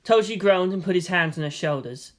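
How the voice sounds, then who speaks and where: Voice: medium pitch, lively intonation, fairly quick. A narrator reading fan fiction aloud as scripted drama, with a theatrical, solemn delivery. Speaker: story narrator.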